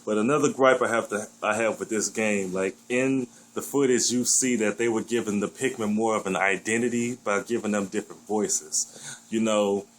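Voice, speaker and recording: A man with a deep voice giving his blunt, opinionated take on a new game in a casual vlog, swearing freely as he gripes. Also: slight background noise, rough recording. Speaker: gaming vlogger.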